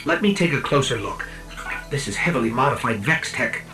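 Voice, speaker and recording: A man's voice: mid-range voice, expressive, brisk, natural voice. A man voicing a small, earnest robot companion in a video game cutscene, talking conversationally. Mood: concerned. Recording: loud music under the voice.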